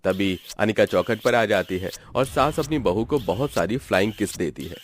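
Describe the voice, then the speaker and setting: A man's voice: on the low side, animated, moderate pace. Male story narrator over music.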